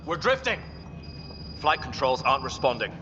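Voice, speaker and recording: A man's voice: mid-range voice, sing-song, normal speed, lightly acted. An actor playing an alarmed male crew member in a sci-fi game, giving clipped, urgent reports. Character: alarmed male starship crewman reporting damage. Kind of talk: sci-fi video game acting. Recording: muffled, reverberant, noisy background.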